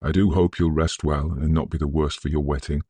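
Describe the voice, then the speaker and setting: Man voicing a humble, husky, deferential night watchman. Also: very deep voice, level tone, brisk, dark tone, dry recording. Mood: quiet, kindly. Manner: deferential, concerned. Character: humble, anxious, kindly working-class night watchman, deferential.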